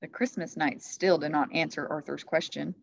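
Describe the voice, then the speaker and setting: A woman in her thirties reading a children's story aloud like a teacher, with expressive narration. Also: sits low, moderate pace, gravelly. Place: classroom, indoors. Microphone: computer webcam at desk distance. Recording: muffled webcam audio.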